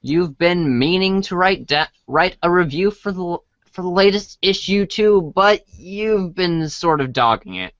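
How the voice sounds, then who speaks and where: A man with a fairly high voice narrates like a read-along storybook. He takes it very slowly and stumbles over words. The sound is dry and a little dull. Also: expressive, fairly bright tone, no room sound. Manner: halting reading with stumbles. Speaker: co-host and narrator.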